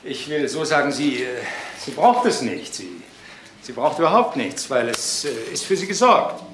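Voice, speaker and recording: An actor playing a patronising adult son, halting, formal and pedantic, in a naturalistic crime drama. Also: male voice, medium pitch, big pitch swings, fairly bright tone, slight background noise. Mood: fussily explaining. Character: pompous, patronising adult son, coolly reasoning, fussy.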